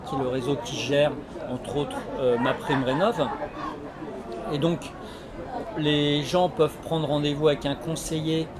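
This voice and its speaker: A man explaining his association's work in an interview, halting often as he searches for words. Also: a little high, fairly even intonation. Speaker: energy renovation association representative. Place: community associations forum in a sports hall. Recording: loud crowd hubbub at a fair. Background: loud crowd.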